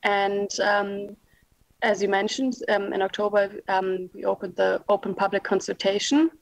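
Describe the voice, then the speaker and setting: A woman official walks through a policy plan in a careful, halting way. Her intonation barely moves, staying level and even. She is on a video call, and the sound is dry and close with no room echo. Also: mid-range voice, gravelly. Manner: measured, with frequent pauses and filler sounds. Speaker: policy official at a panel discussion. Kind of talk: formal briefing answer.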